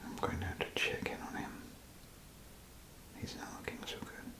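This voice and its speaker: A man performing a whispered ASMR role play as an attentive, apologetic doctor, murmuring in a hesitant, unhurried way. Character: apologetic, attentive male vault doctor tending battered patients.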